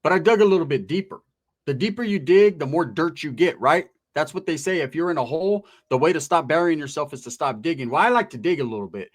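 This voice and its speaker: A man's voice: on the high side, animated, fast talker. A man hosting informal true-crime commentary, talking straight to viewers in emphatic street slang with rhetorical questions and some swearing. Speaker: online commentary host.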